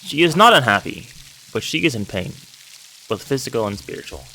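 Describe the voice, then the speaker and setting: A man voicing a solemn, devout priest in a narrative game, speaking in a measured, formal, pious way. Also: medium pitch, sing-song, pushed and bright, a little hiss or hum, rough recording. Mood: hushed, solemn. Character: solemn devout priest, gentle confessor, male. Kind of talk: reading aloud narrative game dialogue. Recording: music and rain behind the voice.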